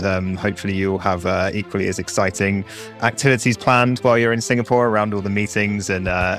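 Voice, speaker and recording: A male reporter hosting a podcast, talking quickly and casually with lots of fillers. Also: fairly low pitch. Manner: quick and relaxed, many fillers like "um" and "like". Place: home office near London, dry sound.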